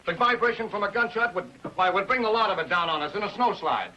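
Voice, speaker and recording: High, tense outlaw henchman. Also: lively intonation, fast talker, sharp tone, rough recording. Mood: uneasy. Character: nervous, hesitant outlaw henchman. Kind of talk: 1950s TV adventure acting.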